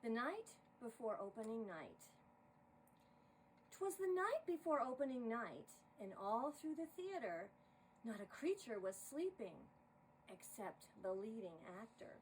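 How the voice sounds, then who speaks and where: A woman narrating a rhyming story in an expressive, theatrical style. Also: fairly high pitch, a little slow. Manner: dramatic, expressive. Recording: distant mic with faint noise.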